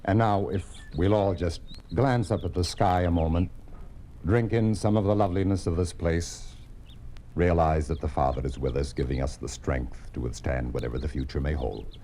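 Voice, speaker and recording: An actor playing a calm, devout parson who speaks in a solemn, measured, ceremonial way in a 1950s radio drama. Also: male voice, low-pitched, a little hiss or hum. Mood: gentle, steady. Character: calm devout male parson marrying a couple in secret. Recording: old radio recording with music.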